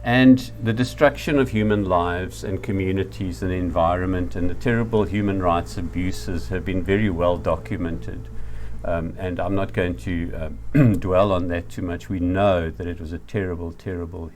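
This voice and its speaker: A middle-aged or older man with a South African accent, giving a reflective lecture in a deliberate, emphatic manner. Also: sits low, steady tone, a little mellow, slight background noise.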